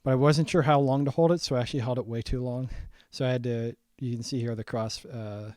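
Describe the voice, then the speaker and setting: A young man walking through his own saxophone arrangement in a casual, halting way, full of ums and restarts, his voice getting raspy. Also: medium pitch, steady tone, darkish tone, dry recording, studio quality. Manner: halting, many ums and restarts, thinking aloud. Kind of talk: casual screen-share breakdown.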